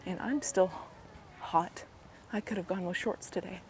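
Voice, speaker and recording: A woman, hoarse and a bit raspy from a cold, chatting casually as she walks through a park and vlogs on a handheld camera, often dropping into soft asides. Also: a little slow, slight background noise, low-quality recording. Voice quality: raspy, slightly deep. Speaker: walking vlogger. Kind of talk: casual walking commentary. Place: outdoors in a park while walking. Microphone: handheld gimbal camera mic. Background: faint outdoor ambience.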